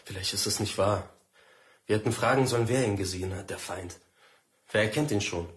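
An actor playing a cautious husband and father who keeps calm, in a radio drama. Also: on the low side, brisk, natural voice. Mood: hushed, wary. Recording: roomy, echoing radio play sound.

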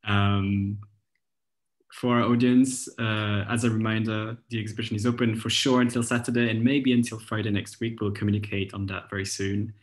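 A young man hosts an online talk over a video call, easygoing and chatty as he puts questions to guests and wraps up. His voice sits on the lower side. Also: level tone, normal speed. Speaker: moderator. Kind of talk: moderating a talk.

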